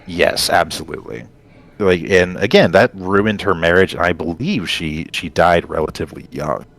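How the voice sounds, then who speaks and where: Low-voiced man rambling on a podcast. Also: moderate pace, fairly bright tone. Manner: rambling, explanatory, digressive storytelling. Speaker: podcast co-host. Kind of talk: casual conversational podcast chat.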